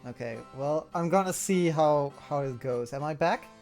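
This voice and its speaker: A man hosting a casual interview over an online call, speaking informally and haltingly as he thinks aloud. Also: a little high, a little mellow, soft background music. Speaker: interviewer, fan project producer.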